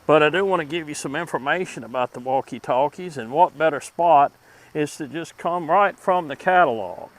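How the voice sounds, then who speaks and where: A man hosting a vintage gear review channel, talking through a demonstration in an informal, deliberate and somewhat halting way. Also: on the high side, rough recording.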